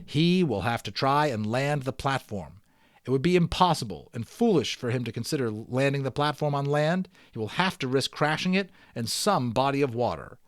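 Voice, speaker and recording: A man's voice: mid-range voice, moderate pace, dry recording, studio quality. Man reading a children's novel aloud. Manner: expressive reading, dropping into chatty offhand commentary. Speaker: amateur audiobook reader. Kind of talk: dramatic read-aloud of a children's novel with casual asides.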